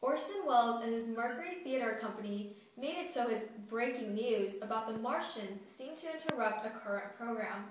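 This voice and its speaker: A woman's voice: fairly high pitch, lively intonation, moderate pace, few pauses, a little hiss or hum. A woman narrating a scripted newscast. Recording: very echoey, distant mic.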